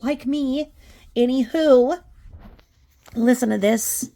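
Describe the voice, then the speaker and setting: A woman's voice: high voice, slow, dark tone. A woman giving unscripted, scornful and emphatic opinion commentary as the host of her own channel.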